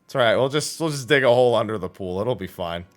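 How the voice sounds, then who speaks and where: Animated man telling stories on a livestream. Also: medium pitch, quick pace. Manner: animated anecdotes, acting out dialogue, profane. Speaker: livestreamer host. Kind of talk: casual livestream storytelling.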